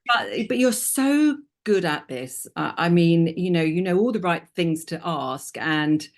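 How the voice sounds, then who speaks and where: A woman's voice: on the low side, animated, measured pace. A woman hosting an interview, who welcomes her guest in a friendly, enthusiastic way with many hesitations. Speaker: host. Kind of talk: casual interview.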